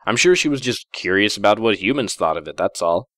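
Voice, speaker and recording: A man's voice: lowish voice, lively intonation, fairly quick, fairly bright tone, no room sound, studio quality. A man narrating a first-person story in a wry, theatrical way. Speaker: fan fiction reader.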